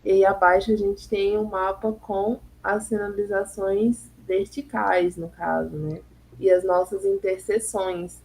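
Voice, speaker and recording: A young woman presents her part of a class project. She hesitates and fills the gaps as she explains. Her voice is mellow, with a faint hiss in the background. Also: mid-range voice, fairly even intonation, measured pace, gravelly, rough recording. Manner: hesitant, many fillers. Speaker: architecture student.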